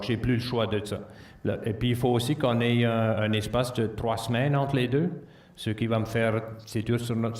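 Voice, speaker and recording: A farmer, a man whose first language is not French, answering audience questions in a plain, halting way. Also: fairly low pitch, darkish tone. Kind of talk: Q&A at a conference. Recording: echoey hall, distant mic.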